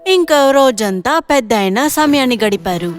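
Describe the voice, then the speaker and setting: A woman with a high-pitched voice narrates a scripted moral tale for an animation. Music runs clearly behind the narration. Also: lively intonation, unhurried.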